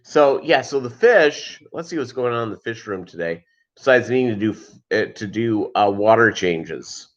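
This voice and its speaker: A man hosting a casual livestream, chatting with viewers in a halting way and wandering between asides. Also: lowish voice, lively intonation. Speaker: livestream host. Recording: dull lo-fi livestream audio.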